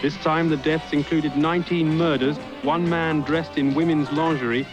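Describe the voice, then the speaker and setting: A man reading a radio news bulletin in a measured, formal way. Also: fairly high pitch, fairly even intonation. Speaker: newsreader. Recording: dull lo-fi radio with music behind.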